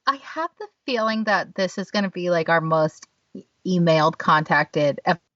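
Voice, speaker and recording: A woman's voice: fairly low pitch, animated, moderate pace, a bit bright, no echo. A woman co-hosting a podcast, telling stories in a chatty casual way with lots of fillers. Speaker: podcast co-host. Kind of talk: casual chat. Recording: dull, distant, dry sound.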